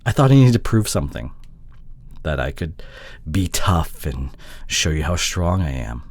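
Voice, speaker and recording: A man playing an apologetic, insecure boyfriend in an intimate audio drama, speaking haltingly with sighs and breathy murmurs. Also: very deep voice, sing-song, a little mellow, heavily acted. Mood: apologetic, earnest. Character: apologetic, pleading long-distance boyfriend, insecure young man. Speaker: audio roleplay voice actor.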